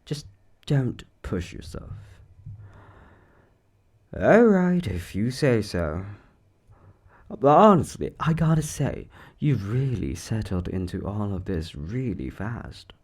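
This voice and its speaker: Upbeat, quirky male shopkeeper boss played by a man for audio roleplay; casual and chatty, very animated delivery, unhurried pace. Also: on the low side, husky, exaggerated voice. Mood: concerned, sheepish. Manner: animated, chatty, hesitant asides.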